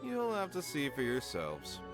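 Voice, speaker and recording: A man's voice: wildly swooping pitch, a little slow. An actor playing a boastful, self-assured mine contractor who talks up his hired expert like a salesman. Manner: boastful, salesman-like, wide swings in pitch. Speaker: requester. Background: game music.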